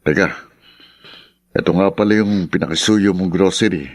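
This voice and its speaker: A concerned, scolding younger Filipino friend in a radio drama. His voice is low and frank, recorded dry and close. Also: normal speed, no echo. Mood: concerned reproach. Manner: admonishing, frank.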